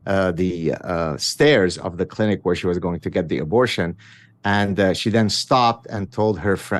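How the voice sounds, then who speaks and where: Low-voiced wry man from Lebanon. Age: 50s. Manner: wry, self-mocking, frequent restarts and fillers. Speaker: guest author. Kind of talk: conversational interview storytelling.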